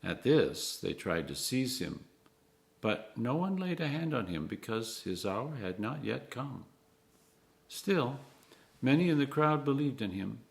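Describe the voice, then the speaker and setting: A clergyman reading scripture aloud in an expressive, measured way, phrase by phrase, with emphatic stresses. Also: medium pitch, gravelly.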